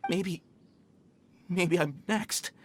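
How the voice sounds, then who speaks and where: An actor playing a frightened mine worker in a video game, nervous and faltering. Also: male voice, high voice, extremely slow, a little hiss or hum. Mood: fearful. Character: frightened, anxious mine worker left behind. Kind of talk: English video game voice acting.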